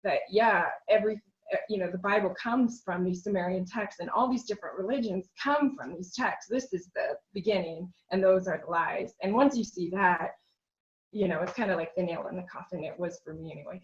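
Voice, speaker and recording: A woman's voice: fairly low pitch, a little fast, lo-fi sound. A woman guest on a podcast telling a long personal story in an earnest, rambling way, with frequent "um" and "you know.". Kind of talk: casual interview chat.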